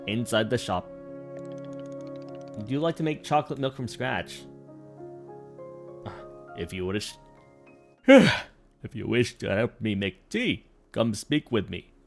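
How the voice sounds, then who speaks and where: A man voicing a courteous male tea shopkeeper with a put-on Russian accent, speaking softly and slowly as he coaxes a guest. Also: mid-range voice, vocal fry. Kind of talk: reading game dialogue aloud.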